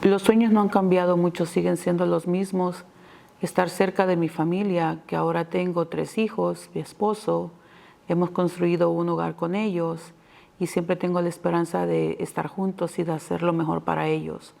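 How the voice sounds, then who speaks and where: Gravelly-voiced Salvadoran woman in her fifties. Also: fairly low pitch, flat delivery, normal speed, warm tone. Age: 50s. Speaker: interviewee. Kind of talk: personal interview testimony.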